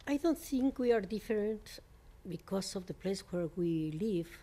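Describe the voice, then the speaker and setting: A woman from Mexico speaking English with a Mexican Spanish accent as a non-native speaker, giving an interview as a composer in halting English full of fillers and restarts. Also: medium pitch, expressive, mellow. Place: venue in New York.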